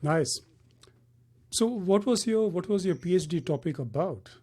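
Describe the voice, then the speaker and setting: Male interview host, friendly and chatty, warm mellow tone, a bit high. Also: lively intonation, unhurried. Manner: friendly, chatty, filler-heavy questions. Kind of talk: casual interview.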